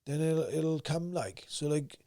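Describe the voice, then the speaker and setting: A young man with a South Wales valleys accent and a gruff voice, talking bluntly and swearing freely as a podcast guest. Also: on the high side, measured pace, warm tone. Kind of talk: casual interview chat.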